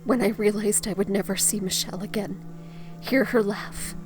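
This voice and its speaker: An actress playing a young rookie superhero, grieving and defensive, in a halting, emotional audio drama performance. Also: female voice, mid-range voice, fairly even intonation, close to an everyday voice. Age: young adult. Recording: music behind the voice.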